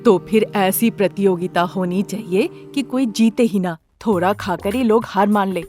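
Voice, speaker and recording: A woman's voice: mid-range voice, moderate pace, darkish tone, faint music behind, close to an everyday voice. A woman voicing a shrewd young female friend, explaining things in a matter-of-fact, persuasive way. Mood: knowing, persuasive. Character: shrewd young female friend giving money-making advice.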